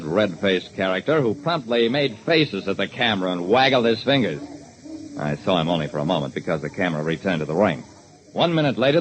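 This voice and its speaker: A man's voice: mid-range voice, close to an everyday voice, poor audio. An actor playing a terse, observant private detective, narrating his casebook in a clipped, dramatic 1940s radio style on a hissy old recording. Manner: dramatic, clipped hard-boiled storytelling.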